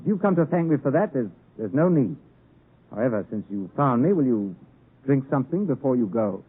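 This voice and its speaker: An actor playing an earnest, proper young gentleman in a 1950s radio drama, speaking formally and dramatically. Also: medium pitch, very animated, fairly quick, slightly rough. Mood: awkward, polite. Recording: muffled narrowband 1950s radio broadcast recording.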